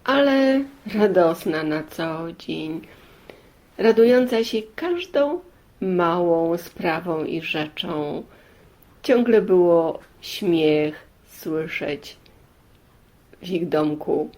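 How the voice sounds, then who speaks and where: A woman storyteller telling a fairy tale in deliberate short phrases, with many pauses and repeats. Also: on the low side, lively intonation, a little mellow.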